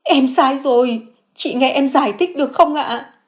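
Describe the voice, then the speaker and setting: A woman voicing a remorseful middle-aged sister-in-law who begs for forgiveness in a high, tearful voice. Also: moderate pace, close to an everyday voice. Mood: pleading. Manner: begging, shrill and tearful.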